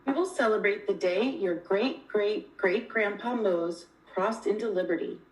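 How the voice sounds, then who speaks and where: A woman reads a children's story aloud in a mid-range voice. The sound is noticeably echoey, as if she is some distance from the microphone. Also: medium pitch. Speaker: reader of a children's picture book. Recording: echoey room, distant from the mic.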